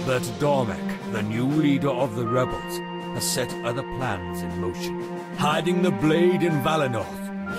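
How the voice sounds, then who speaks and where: A man acting as a solemn storyteller, narrating a fantasy video game prologue in a grave, measured, dramatic manner. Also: medium pitch, lightly acted.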